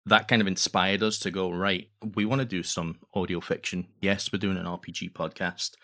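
A man with a low, deep voice chats casually as a podcast guest. He starts haltingly, repeating words as he works toward his point. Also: level tone, fairly bright tone. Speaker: podcast guest, audio drama creator. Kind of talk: casual interview chat.

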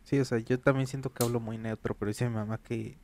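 A man's voice: medium pitch, level tone, fast, darkish tone, slight background noise. A man from Querétaro with a Mexican Spanish accent, chatting and telling stories in a joking way. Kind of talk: casual chat.

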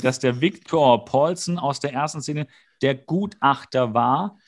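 A man co-hosting a podcast, casually and comically retelling an episode in an animated way with exasperated, slangy outbursts. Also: medium pitch, measured pace. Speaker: podcast co-host. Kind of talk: casual comedic episode recap.

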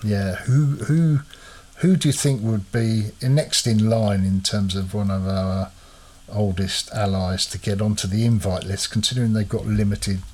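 A man's voice: on the low side, fairly even intonation, measured pace, few pauses, darkish tone. A man hosting a casual chat, quizzing his co-host with lots of hesitant fillers.

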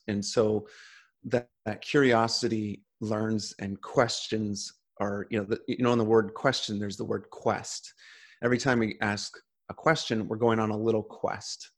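A man's voice: fairly low pitch, steady tone, moderate pace. A man in casual conversation, talking earnestly with frequent restarts.

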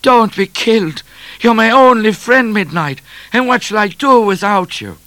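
A storybook police trooper, acted out by a male reader, blustering and sentimental at once. He calls out loudly in a voice pushed well up, with big swoops of pitch and heavy emphasis. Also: animated, a little slow, no room sound. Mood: anguished, pleading. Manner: loud, emphatic calling out. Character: hot-headed, sentimental police trooper, man, shouting threats and pleas.

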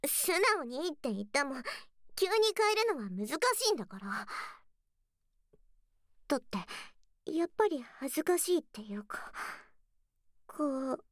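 A woman's voice: sits high, very animated, moderate pace, pauses often, no room sound. A woman playing a bashful, secretly clingy tsundere young girlfriend in an intimate ASMR voice drama, flustered and pouty, close to the microphone. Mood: bashful, affectionate. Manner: flustered, stammering, pouty, softening into breathy murmurs. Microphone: close binaural microphone, dry studio sound.

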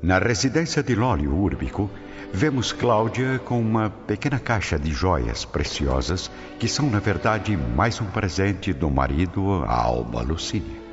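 A man's voice: low-pitched, sing-song, unhurried. A man narrating a radio soap in a dramatic, storytelling style. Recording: dull lo-fi radio drama audio. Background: clear music bed.

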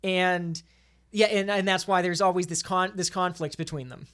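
A man's voice: high voice, steady tone, normal speed, fairly bright tone. An emphatic man talks casually in a podcast discussion. He gives his opinions with enthusiasm, often doubling up words as he makes a point.

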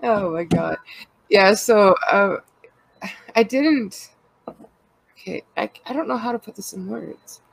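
A Canadian woman talks openly in a loose, rambling way, full of fillers and quick laughs. Her voice sounds stuffed up from a cold, with a gravelly fry running under it. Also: mid-range voice, lively intonation, poor audio. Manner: rambling and candid, laughs often, many fillers. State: congested with a cold. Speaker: podcast co-host. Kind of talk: casual personal chat.

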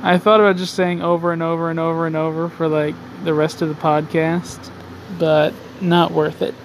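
A man's voice: level tone, moderate pace, rough recording. A young man hosting a casual solo podcast from his garage, rambling, mocking himself and swearing often. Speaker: podcast host. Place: home garage. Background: faint highway traffic.

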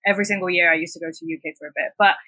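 A woman in her twenties from Hong Kong, a native Cantonese speaker, chatting as a podcast guest, full of "like" fillers and quick laughs. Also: on the low side, steady tone, bright, forceful tone, dry recording. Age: 20s. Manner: chatty, many "like" fillers, laughs between points. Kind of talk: casual conversational interview.

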